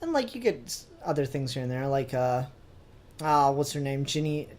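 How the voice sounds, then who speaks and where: A man in the role of podcast host, chatting casually about pop culture. His talk is informal and enthusiastically geeky, with a bit of mild swearing. His voice sits at a middling pitch. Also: very animated, moderate pace, darkish tone.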